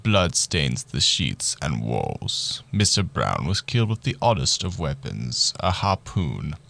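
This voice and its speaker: A man's voice: extremely low pitch, sing-song, slow, gravelly, fairly bright tone, exaggerated voice. A man playing a bumbling, oblivious detective who dramatically narrates a murder mystery in a radio play. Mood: grim, theatrical.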